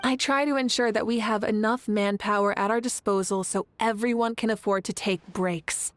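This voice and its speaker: A woman voicing a confident, composed leader in a video game, speaking in a measured, articulate and thoughtful way over music. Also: mid-range voice, steady tone, slight background noise, close to an everyday voice. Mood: calm, reflective. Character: confident, composed warrior leader, a self-described workaholic idealist. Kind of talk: video game character dialogue.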